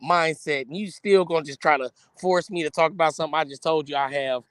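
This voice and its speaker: An American man in his thirties chatting casually in heavy slang, bantering with an animated, theatrical air of protest. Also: fairly high pitch, fast talker, smooth, no room sound, clean studio sound. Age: 30s. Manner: animated, dramatic mock protest.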